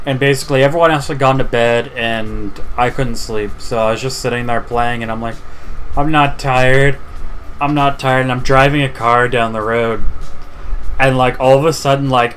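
A man's voice: mid-range voice, fairly bright tone. A man co-hosting a podcast, casually telling anecdotes. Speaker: podcast co-host. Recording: faint noise behind the voice.

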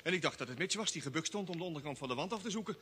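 Quick, nervy grumbling spaceman. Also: on the high side, expressive, sharp tone, slight background noise. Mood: frightened. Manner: anxious, complaining, stammering. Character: nervy, grumbling comic male space crewman. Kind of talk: old Dutch radio drama acting. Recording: vintage radio drama, long studio reverb.

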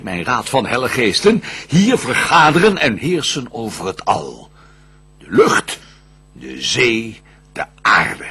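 An actor playing the proud, vengeful fallen prince of hell in a radio drama, declaiming verse in a grandiose, brooding, scheming manner. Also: male voice, medium pitch, sharp tone, breathy edge, full character voice. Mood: grand, defiant.

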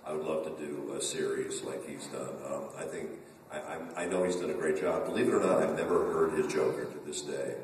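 A man's voice: a bass voice, brisk, a little mellow, a little hiss or hum. A male voice actor answering fan questions on a convention panel, chatty and joking, with frequent restarts. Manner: chatty, jokey storyteller with many restarts. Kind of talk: casual convention Q&A. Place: large convention function room. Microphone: table mic seen from far camera. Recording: echoey hall from far off.

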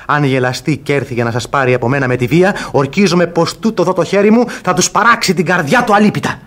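An actor playing a gallant young suitor in a classic radio theatre comedy, earnest and declamatory. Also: male voice, fairly high pitch, fairly bright tone, close to an everyday voice. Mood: defiant.

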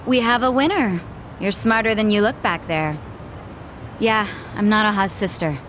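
A woman's voice: medium pitch, normal speed, a bit bright, slight background noise, natural voice. An actress playing a blunt, sarcastic young woman bartender in a video game. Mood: curt, irritated. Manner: brusque, sarcastic. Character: blunt, prickly young female cabaret bartender. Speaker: voice actor in a game. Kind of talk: English video game cutscene dub. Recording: music behind the voice.

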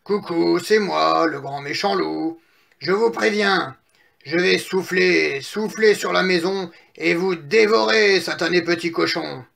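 A big bad wolf from a storybook, acted out by a man reading aloud. He is hungry and full of himself, and he draws his threats out slowly and menacingly. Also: high voice, gravelly, a bit bright, exaggerated voice. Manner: slow, drawn-out threats. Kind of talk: children's storybook character voice.

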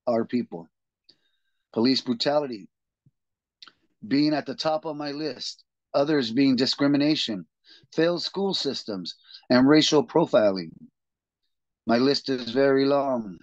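A man hosting a reading group, reading a text aloud in an earnest, steady way and then leading the discussion over a video call. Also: mid-range voice, no echo. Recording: dull, dry lo-fi video call audio.

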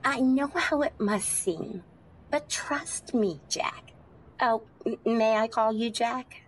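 An actress playing a polite, serene and eccentric taxi passenger, gentle and hesitant, in old-time radio style. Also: female voice, fairly high pitch, slow pace, breathy edge, rough recording. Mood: friendly, calm.

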